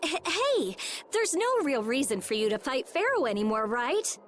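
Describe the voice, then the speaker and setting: An actress playing an earnest, excitable and anxious youngster in a video game. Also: female voice, high-pitched, moderate pace, a little hiss or hum. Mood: pleading, anxious. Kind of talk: scripted video game voice acting. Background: game music.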